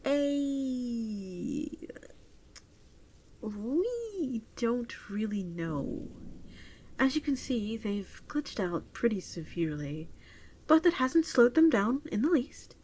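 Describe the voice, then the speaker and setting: A woman acts out a gentle, doting clerk at a virtual pet adoption shop in an ASMR roleplay. Her mid-pitched voice is soft and unhurried, often dropping into a whisper. Clear ambient noise runs behind her. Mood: tender, fond.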